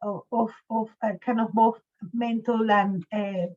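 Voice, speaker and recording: Muffled lo-fi audio, woman in her fifties, fairly high voice, halting interviewer, repeats words. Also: fairly even intonation, darkish tone. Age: 50s. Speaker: host, interviewer. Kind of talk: conversational interview.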